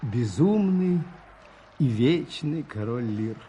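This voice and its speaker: An actor playing a gentle, modest parish priest in a 1980s Soviet radio drama, soft and husky, with a playful, unhurried manner. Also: male voice, medium pitch, animated, slight background noise. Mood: reflective.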